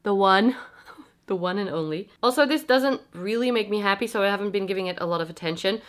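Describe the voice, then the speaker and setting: A young adult woman with a stuffy, congested voice from a cold, chatting casually to camera at home, self-deprecating and explaining things as she goes. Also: medium pitch. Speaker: plant vlogger. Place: home, indoors.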